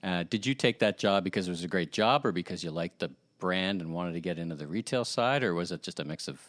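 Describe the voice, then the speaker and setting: A man's voice: deep voice, expressive, fast talker, fairly bright tone. A man hosting a podcast interview, upbeat and conversational, asking long, rambling questions. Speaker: podcast host. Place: office at company headquarters.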